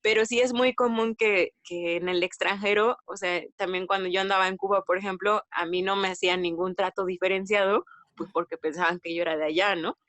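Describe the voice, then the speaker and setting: A woman from Mexico with a Mexican accent, chatting casually as a podcast guest, retelling anecdotes in an animated way with slang and quoted dialogue. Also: mid-range voice, fairly quick, no echo. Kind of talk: casual conversational storytelling.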